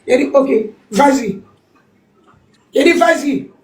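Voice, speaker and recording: A woman's voice: high voice, expressive, slow pace, a little mellow, echoey room. An older woman, the guest's mother, speaking emphatically and repeating herself, laughing now and then. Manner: emphatic, repetitive, laughing through relief. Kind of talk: emotional call-in remarks.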